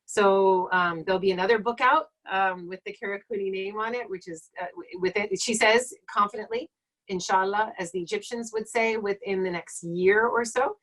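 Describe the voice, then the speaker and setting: Woman, late forties, Egyptologist on a home live stream; relaxed and digressive delivery with lots of fillers. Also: medium pitch, moderate pace, low-quality recording. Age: late 40s. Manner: chatty and digressive, many fillers, self-corrects. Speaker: Egyptologist giving a talk and Q&A. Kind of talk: casual lecture and Q&A on a live stream.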